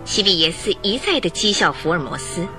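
A woman narrating a radio detective drama in a measured, dramatic storytelling manner. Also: lowish voice, sing-song, bright, forceful tone. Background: music.

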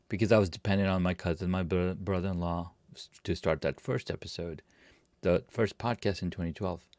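Low-voiced man telling his story. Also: no echo, clean studio sound. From Pakistan. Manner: relaxed storytelling with frequent fillers and restarts. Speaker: podcast guest. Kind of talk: casual interview talk.

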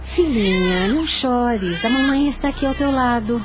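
A woman's voice: on the high side, background noise, natural voice. Actress as a sorrowful young mother in radio melodrama. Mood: soothing. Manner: sorrowful, formal, drawn-out delivery. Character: young grieving, ailing mother, devout and despairing. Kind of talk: melodramatic radio soap opera acting. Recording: radio drama with music underneath. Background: background music.

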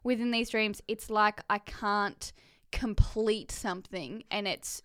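A woman co-hosting a podcast in casual chat, animated and rambling with quick laughs and restarts. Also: mid-range voice, clean tone, no echo. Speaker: podcast co-host.

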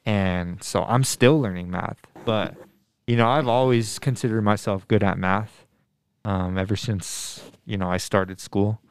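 A man in his twenties from Denver, a math teacher, talks through students' questions. His voice sits fairly low and sounds smooth and resonant. He speaks earnestly and thoughtfully, often pausing with ums and restarting his sentences. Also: steady tone. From Denver, USA. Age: 20s. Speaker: middle school math teacher. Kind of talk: casual interview answers to students.

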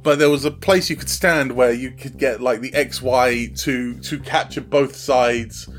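A man with a medium-pitched voice talks casually as an interview guest about his creative work. He feels his way through sentences in a halting fashion, as if thinking aloud. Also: fairly even intonation, faint music behind.

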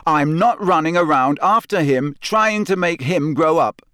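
A man's voice: on the high side, very animated, moderate pace, dry recording, clean studio sound, pitched above the performer's own voice. A man voicing a frustrated teenage schoolboy who sounds bitter and defensive.

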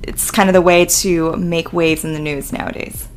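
A woman's voice: on the low side, fairly even intonation. Teasing, friendly female podcast host. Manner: friendly, teasing, quick questions. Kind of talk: casual podcast interview.

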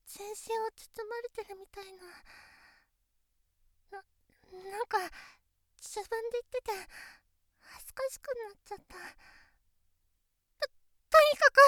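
A woman's voice: very high-pitched, sharp tone, dry recording, heavily acted. A voice actress playing a shy, sweet teenage girlfriend who stammers when flustered, in a close-miked situation voice drama.

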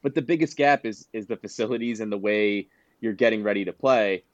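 A man's voice: lowish voice, a little fast, no room sound, muffled recording. A man co-hosting a podcast, telling rambling anecdotes broken by laughter in a casual chat. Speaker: podcast co-host.

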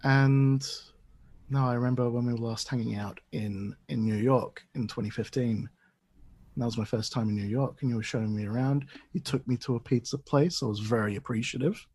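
An enthusiastic man in his forties chats as a podcast guest, his accent a blend of Australian, Dutch and British. His voice sits at an average pitch. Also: fairly even intonation, brisk, a little mellow, breathy edge. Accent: mixed Australian, Dutch and British. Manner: chatty and enthusiastic, lots of restarts. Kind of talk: casual podcast chat.

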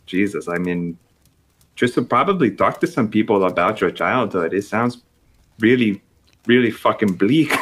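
A man playing a vain, tipsy female actress with a put-on Colombian Spanish accent in an improvised comedy bit. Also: deep voice. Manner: haughty, blunt, rambling.

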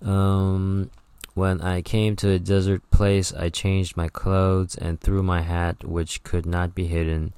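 A man with a very deep, low voice reads an old travel book aloud. He stumbles and rereads words, then breaks off for offhand, profane comments. The sound is dry and close, with no room echo. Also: a little mellow, clear, resonant tone. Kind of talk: reading aloud with casual commentary.

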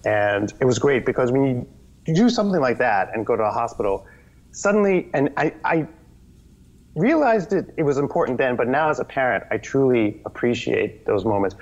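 Animated, rambling man telling stories. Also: medium pitch, highs cut off. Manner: animated, rambling, with many restarts. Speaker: guest, children's TV performer. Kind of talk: casual interview storytelling.

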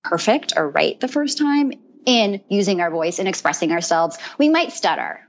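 A woman guest in a casual podcast conversation, earnest and animated, who often repeats words as she talks. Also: medium pitch, normal speed, muffled recording. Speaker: guest, mentor to creative women.

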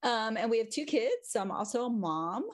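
A woman's voice: on the high side, animated, moderate pace. Laughing, thoughtful woman professor. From Taiwan, raised in the US. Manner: thoughtful, laughs often, many ums. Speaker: guest, English professor. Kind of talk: casual conversation.

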